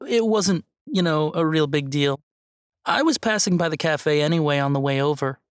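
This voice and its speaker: A gentle, tentative young female student voiced by a woman in an audio drama. Her voice sits low for a woman, and she speaks in a conciliatory, hesitant way. The recording is dry and close. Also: expressive, normal speed, clear, resonant tone, no echo. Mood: friendly.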